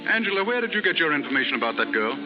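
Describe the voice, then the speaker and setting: An actor in a 1930s radio drama playing an earnest upper-class gentleman novelist who can turn stern, with formal, clipped speech. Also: on the high side, sing-song, racing, music in the background. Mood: cold, accusing. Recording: muffled 1930s radio broadcast.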